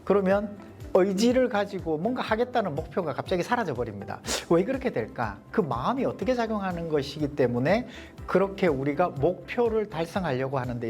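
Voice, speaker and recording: A man with a softened Gyeongsang accent, giving a leadership coaching lecture to a small group and posing rhetorical questions. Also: a little high, very animated, brisk, soft background music. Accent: Gyeongsang Korean, mostly softened. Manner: poses rhetorical questions, explanatory.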